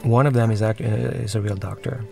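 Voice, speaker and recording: A male film director records a commentary over his own movie. His voice is low and gravelly. He speaks haltingly, stopping and restarting as he looks for the right words. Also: steady tone, over loud music.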